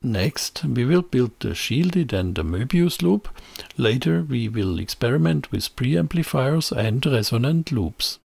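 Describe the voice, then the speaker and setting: A middle-aged man reading a scripted technical tutorial in a measured, explanatory way into a close microphone. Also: mid-range voice, expressive, creaky, darkish tone, husky, no echo. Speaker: presenter of an electronics tutorial channel. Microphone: close voice-over microphone.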